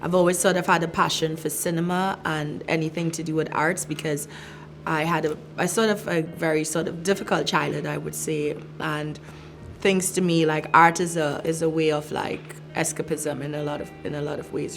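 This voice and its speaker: A woman's voice: deep voice, fairly even intonation, brisk, vocal fry, slight background noise. A middle-aged woman from Trinidad and Tobago, a filmmaker reflecting on her work in a thoughtful interview with many fillers and restarts. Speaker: filmmaker, arts director.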